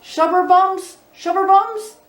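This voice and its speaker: A woman voicing excited young children in a storybook, eagerly clamoring to their papa. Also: very high voice, takes it slowly, reverberant, distant mic, voice pushed up. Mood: eager, begging.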